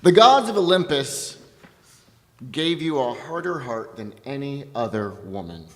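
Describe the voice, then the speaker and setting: A man playing an aggrieved returned husband from ancient epic, declaiming deliberately on a theatre stage. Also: medium pitch, sing-song. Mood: bitter, wounded. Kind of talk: dramatic stage reading of an epic. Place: theatre stage in an arts center. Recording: echoey hall with long reverb.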